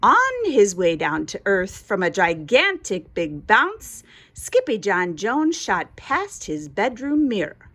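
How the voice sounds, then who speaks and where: A woman reading a children's bedtime story aloud in an expressive storytelling style, with music behind her. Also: mid-range voice, slow pace. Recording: music under the voice.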